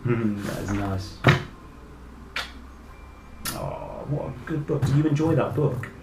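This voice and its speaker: Animated British man reading a children's story. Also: lowish voice, takes it slowly, gravelly. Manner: enthusiastic, exclaiming and addressing young listeners directly. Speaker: storyteller reading a picture book aloud to children. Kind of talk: expressive read-aloud with chatty asides. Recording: echoey room with faint background noise.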